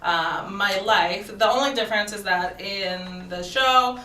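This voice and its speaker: A bright-voiced woman who grew up in Japan chats as a comedian guest, playful and quick to laugh. The studio sounds roomy, with the mic set a bit away from her. Faint room noise sits underneath. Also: mid-range voice, distant mic. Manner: laughs often, chatty and playful. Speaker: comedian and actor, interview guest. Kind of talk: casual interview chat.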